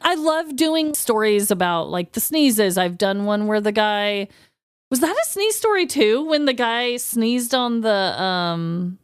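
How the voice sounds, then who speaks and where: A woman co-hosting a podcast, chatty and animated, laughing often as she tells the story. Her voice is a little high and swings widely in pitch. The sound is dry and close. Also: a little slow, smooth, no echo. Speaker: podcast co-host. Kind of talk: casual true-crime podcast chat.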